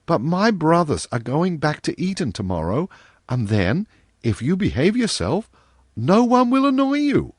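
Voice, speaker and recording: A sweetly stern young girl with a lifted, lively voice, lecturing with earnest scolding as a man reads her part. The sound is dry and close, with no room around it. She is storybook-prim and sure of her own rightness. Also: fairly high pitch, a little slow, no room sound, voice pushed up, heavily acted. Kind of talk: storybook reading.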